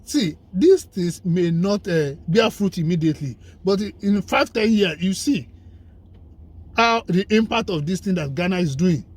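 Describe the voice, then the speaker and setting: A man with a fairly high voice and a husky edge talks as a guest in a discussion. He argues emphatically, piling up lists and rhetorical questions to drive each point home. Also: normal speed. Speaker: guest commentator. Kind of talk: conversational discussion.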